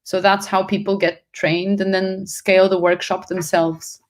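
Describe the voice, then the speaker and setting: A woman's voice: mid-range voice, flat delivery, unhurried. A woman from Portugal, not a native English speaker, answering as a guest on a panel in an earnest way, often restarting and correcting herself mid-sentence.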